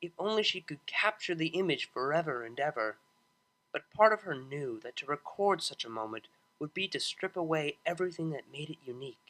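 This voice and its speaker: A man narrating a piece of fan fiction in an expressive storytelling style. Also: fairly high pitch, fairly quick, low-quality recording. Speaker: audiobook narrator.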